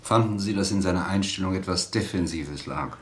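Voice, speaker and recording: An actor playing a polite, probing interviewer in a radio drama, asking questions in a measured way. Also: male voice, low voice, slight background noise, close to an everyday voice. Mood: inquiring.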